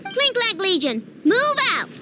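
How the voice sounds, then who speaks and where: A woman's voice: extremely high pitch, big pitch swings, slow, very noisy, full character voice. A woman voicing a headstrong young girl genius inventor in an anime game, bossy, defiant and dramatic. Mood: commanding.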